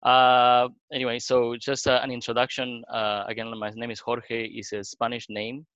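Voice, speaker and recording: A middle-aged man from Argentina, a pastor, sharing his story in a halting way with many fillers and restarts. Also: medium pitch, monotone, fairly bright tone, clean tone, no echo, dull audio. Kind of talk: panel talk.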